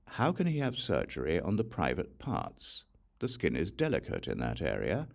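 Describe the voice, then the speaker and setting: Male narrator for religious audiobook; gravelly, lively intonation, deliberate scripted delivery. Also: low-pitched. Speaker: audiobook reader.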